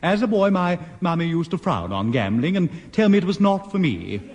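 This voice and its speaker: A man's voice: on the high side, big pitch swings, normal speed, darkish tone, husky, exaggerated voice. A man playing a fussy, timid, prim bachelor, polite and easily flustered, in a 1960s British radio sitcom. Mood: prim, hesitant. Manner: flustered, polite, stammering, hesitant. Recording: old radio recording with hall reverb.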